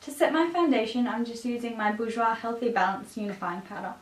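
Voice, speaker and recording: A woman with a raspy voice, a bit sick with a cough, presenting a makeup tutorial as a beauty vlogger. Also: fairly high pitch, expressive, brisk. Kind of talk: scripted makeup tutorial narration. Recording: roomy echo, distant microphone.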